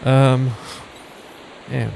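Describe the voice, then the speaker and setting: A man's voice: mid-range voice, little pitch movement, extremely slow, a little mellow, rough recording. A man giving casual commentary as he plays a video game. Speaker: gaming video host. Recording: clear hissy game ambience behind.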